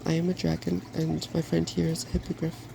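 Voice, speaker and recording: A man voicing a cheerful, wise, weary dragon in a soft-spoken storybook reading, polite and measured. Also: a little high, monotone, vocal fry, noisy background.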